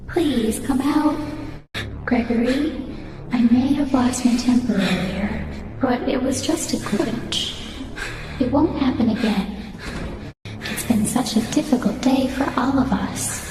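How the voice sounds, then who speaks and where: Brief: sugary, menacing woman coaxing a child in a horror game, high and slow, music behind. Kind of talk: horror video game acting. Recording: heavy echo, distant, music behind.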